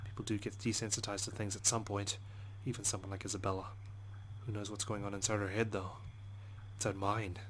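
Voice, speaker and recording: A low-pitched man reads visual novel narration aloud as a let's play host. He reads expressively and swears often, adding his own side comments. There is noticeable noise behind his voice. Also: little pitch movement, brisk, fairly bright tone, poor audio.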